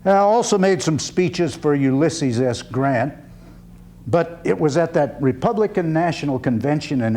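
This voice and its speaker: A man performs as a genial older orator of the 1890s, giving a theatrical solo monologue. He is measured and folksy, a country lawyer who reasons aloud. Background noise and hum sit behind the voice. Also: medium pitch, a little mellow, lightly acted.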